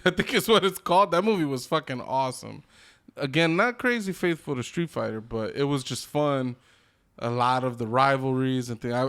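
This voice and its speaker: A man's voice: mid-range voice, gravelly, fairly bright tone. A man co-hosting a podcast, chatting casually with enthusiasm, plenty of slang and swearing. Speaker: podcast co-host. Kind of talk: casual group chat about video game movies.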